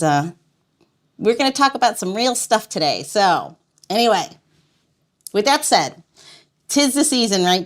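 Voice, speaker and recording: Playful woman hosting a casual podcast. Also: mid-range voice. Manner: playful, laughs often. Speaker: podcast host.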